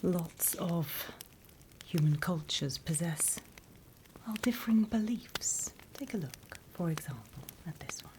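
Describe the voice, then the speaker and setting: A British woman voicing a sly, wryly menacing demon instructor in a hushed, conspiratorial ASMR roleplay whisper, close to the microphone. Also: lowish voice, animated. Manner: measured, hushed, dryly conspiratorial.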